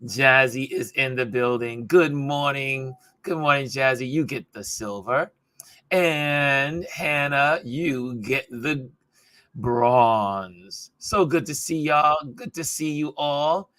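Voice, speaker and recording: A man hosting a casual livestream chat in a chatty way, his voice hoarse from talking. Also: medium pitch, takes it slowly, a bit bright, no room sound. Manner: chatty, greets viewers by name. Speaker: talk show host.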